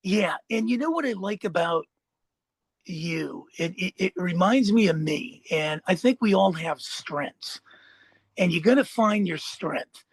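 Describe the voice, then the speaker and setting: A man's voice: sits high, lively intonation, a little slow, breathy edge, no room sound, low-quality recording. An American man hosting a casual interview, rambling and agreeable as he talks. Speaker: podcast host, interviewer.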